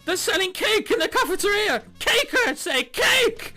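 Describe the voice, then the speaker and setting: Guy doing over the top excitable college kid from a visual novel, high bright voice, super dramatic. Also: normal speed, soft background music, exaggerated voice. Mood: frantic. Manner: melodramatic, exclaiming. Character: excitable cake-obsessed college student, melodramatic and fervent.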